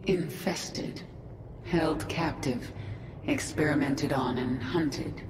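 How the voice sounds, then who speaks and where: A woman's voice: deep voice, slow, reverberant, recorded from a distance, background noise. A resolute swarm queen. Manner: firm, clipped, sometimes whispered.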